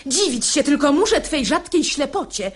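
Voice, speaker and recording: An actress playing a poised, shrewd, exasperated wife in a verse comedy radio play, with theatrical, ironic diction. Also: female voice, sits high, sing-song, moderate pace. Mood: indignant, ironic.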